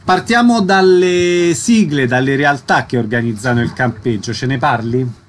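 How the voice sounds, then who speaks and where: A man's voice: medium pitch, moderate pace. A man hosting a radio interview, announcing and asking brief questions.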